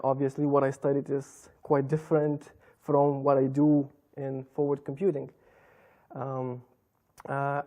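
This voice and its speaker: A young man with a Lithuanian accent, answering interview questions as a guest in a measured, explanatory way. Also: mid-range voice, dark tone. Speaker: computer business guest. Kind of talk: interview talk. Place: TV studio in a hotel.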